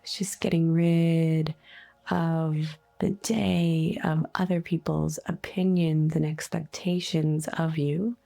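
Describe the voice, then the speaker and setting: Slow, creaky-voiced woman guiding meditation. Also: fairly low pitch. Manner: soothing, unhurried, gently instructive with long pauses. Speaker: guided meditation host, energy healer. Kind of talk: guided meditation. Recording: soft music bed behind voice. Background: faint music.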